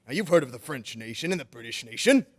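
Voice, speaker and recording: A man's voice: mid-range voice, very animated, quick pace, a bit bright, husky. A man playing a jolly, kindly old Santa Claus in old-time radio drama style, with playful, grandfatherly, coaxing phrasing. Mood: playful, explaining.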